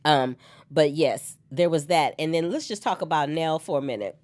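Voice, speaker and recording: A woman hosting an online soap opera recap on her own, slightly hoarse from a cold, animated and chatty and laughing often. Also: sits low, moderate pace. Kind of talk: solo casual recap chat.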